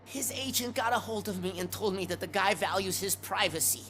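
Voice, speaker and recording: A woman's voice: medium pitch, fairly quick, fairly bright tone, slight background noise, natural voice. A woman playing an urgent, defensive crime suspect in a video game. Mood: resigned. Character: frantic, pleading woman pimp accused of murder. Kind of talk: video game cutscene acting.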